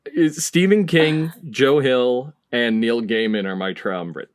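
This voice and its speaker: A man's voice: medium pitch, a little slow, clear, resonant tone, no room sound, studio quality. A man chatting casually as a podcast interviewer.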